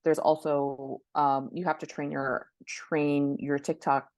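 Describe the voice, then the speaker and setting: Female expert guest, low register, conversational explainer delivery, dry recording. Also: little pitch movement, slow, darkish tone, dry recording. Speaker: guest book marketing expert.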